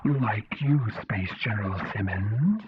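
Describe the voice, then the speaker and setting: A man voicing a ponderous, formal tentacled alien diplomat with a stilted, deliberate delivery through a radio-like filter. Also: fairly low pitch, animated, muffled recording, over music. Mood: curious. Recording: band-limited radio-like sound.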